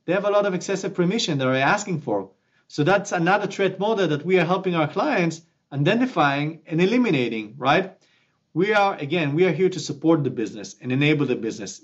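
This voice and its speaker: A man, a founder answering questions in a remote interview, animated and prone to restarts. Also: mid-range voice, highs cut off. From Israel. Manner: animated, gestures with both hands, many restarts. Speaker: company founder guest. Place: small office at home, indoors. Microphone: webcam at desk, video call.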